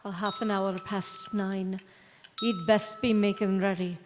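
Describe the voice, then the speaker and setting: A woman playing a calm, soothing confidante in an old-time radio drama, recorded on an echoey church hall stage. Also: mid-range voice, a little slow, slight background noise, lightly acted.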